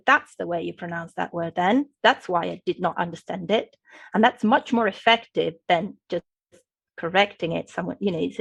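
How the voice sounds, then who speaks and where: Gravelly-voiced Italian woman explaining patiently. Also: mid-range voice, dry recording. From Italy. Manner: patient teacherly explaining, often checks with "okay?". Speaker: guest, English linguistics professor. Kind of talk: explanatory interview talk.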